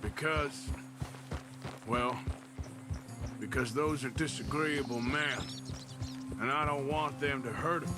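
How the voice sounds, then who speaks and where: An actor playing a weary, wry middle-aged outlaw with a gruff, dry American frontier drawl, in a game cutscene. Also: male voice, mid-range voice, slow, long pauses, close to an everyday voice. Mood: gentle. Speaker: outlaw protagonist. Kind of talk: video game cutscene acting. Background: game score music.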